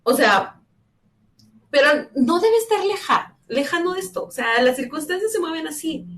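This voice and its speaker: High-voiced sarcastic woman co-host. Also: sing-song, a bit bright. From El Salvador. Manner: sarcastic, jokey, fast-talking with restarts. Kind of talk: casual political chat.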